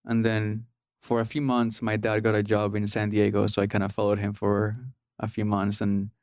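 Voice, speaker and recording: A man in his thirties from Peru speaks in a low, gravelly voice as a podcast guest. He talks casually, pausing often with ums and restarting his phrases. The sound is dry and close. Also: monotone, a little mellow, no room sound. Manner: hesitant, many ums and restarts. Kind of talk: casual interview.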